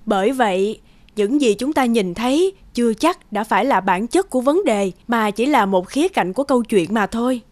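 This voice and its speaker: A woman playing a patient, gentle mother who explains things coaxingly to her son in a cartoon. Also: fairly high pitch, animated, moderate pace, no echo, lightly acted. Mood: gentle, explaining. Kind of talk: cartoon dialogue, moral story. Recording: loud music under the voice.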